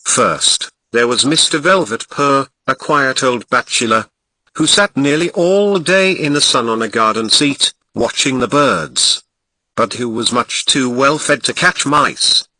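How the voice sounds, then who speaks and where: A Ghanaian man narrates an old nursery tale with an expressive touch, leaning into the descriptive words. His tone is strikingly bright and sharp, and his pitch swings lively up and down. The recording is dry and close. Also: a little slow, dry recording, rough recording. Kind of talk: storybook reading.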